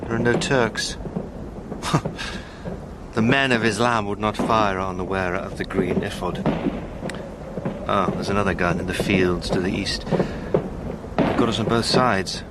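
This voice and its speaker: An actor playing a brave British officer who speaks steadily and plainly with dry understatement, in a radio play with storm and battle effects. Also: male voice, on the low side, measured pace, pauses often, heavy background noise. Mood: wry. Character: brave, wry British officer, loyal and grieving. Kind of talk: radio drama acting.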